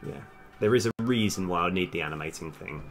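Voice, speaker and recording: A man's voice: low-pitched, fast. A man chatting casually on a live coding stream, hesitant as he thinks aloud and trails off. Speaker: live-streaming game developer. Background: music, keyboard typing.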